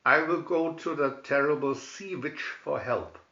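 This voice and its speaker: A man reads as a young storybook mermaid, wistful and determined. The words come slowly and earnestly in a roomy, dull recording. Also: on the high side, bright, forceful tone.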